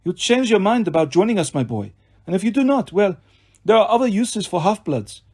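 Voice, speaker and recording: A man's voice: high voice, big pitch swings, a little fast, a little mellow, slightly rough, pitched above the performer's own voice, full character voice. A man voicing a menacing, smug monster villain who talks in a formal, taunting way for a story. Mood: ominous.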